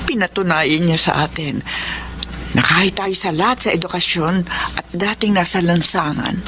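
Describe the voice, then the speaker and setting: A woman's voice: lowish voice, moderate pace, pushed and bright, slight background noise. A woman playing a humble, devout mother from a poor family in a radio drama. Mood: grateful. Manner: humble, polite. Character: poor devout mother, later a business owner.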